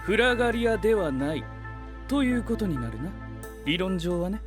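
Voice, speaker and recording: A man's voice: high-pitched, sing-song, normal speed, music in the background. A man playing a cool, aloof, analytical young knight with dry, pedantic speech in an anime voice drama. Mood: cool, detached.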